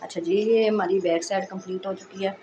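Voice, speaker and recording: A woman hosting a sewing tutorial, speaking in a casual, reassuring way while she explains each step, with a hoarse voice from a sore throat. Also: medium pitch, steady tone, brisk, background noise. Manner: chatty and reassuring, frequent "accha ji".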